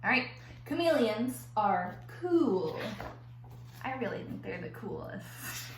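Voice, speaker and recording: A woman schoolteacher reading aloud to young children and explaining an activity in simple, clear phrases. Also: a little high, sing-song, slow pace. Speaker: elementary school teacher. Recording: echoey room with faint background noise.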